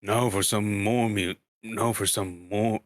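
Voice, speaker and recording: A man voicing a proud, commanding dwarf leader, declaiming loudly in an amateur dramatic storybook reading. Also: fairly low pitch, fairly even intonation, slow, no echo.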